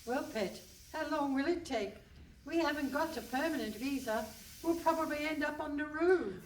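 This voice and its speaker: A woman's voice: high voice, normal speed, close to an everyday voice. A woman playing a brusque, dry-witted, impatient police detective inspector in a comic radio play. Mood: dry, brisk. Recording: live hall stage, distant mic, faint noise.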